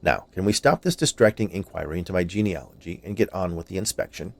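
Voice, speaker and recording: A man's voice: sits low, quick pace, gravelly. Man as a fussy, formal health inspector. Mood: impatient, distracted. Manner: formal, dry, precise. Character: small, fussy, businesslike male health inspector. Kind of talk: dramatized short story reading.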